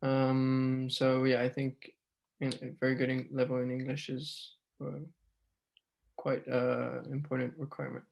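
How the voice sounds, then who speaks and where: A man's voice: mid-range voice, monotone, unhurried, gravelly, darkish tone, highs cut off. A young man, a student, giving hesitant advice on a video call with many fillers. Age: young adult. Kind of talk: casual advice on a webinar.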